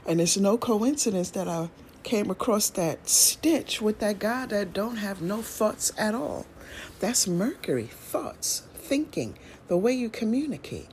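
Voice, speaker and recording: A woman chatting on her own podcast, drawing out her words at an unhurried crawl. Her voice sits at a middle pitch, and her talk stays loose and slangy as she thinks aloud. A faint hiss runs underneath. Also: sing-song, a little mellow. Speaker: podcast host. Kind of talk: casual solo podcast monologue.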